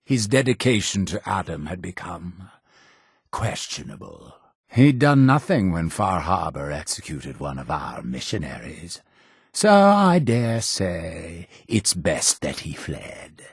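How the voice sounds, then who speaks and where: An actor playing a solemn, fervent cult high priest in a video game, speaking in a grandiose, formal, preaching manner. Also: male voice, sing-song, slow, vocal fry, husky, no echo, studio quality, exaggerated voice. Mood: solemn, measured. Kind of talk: scripted video game character dialogue.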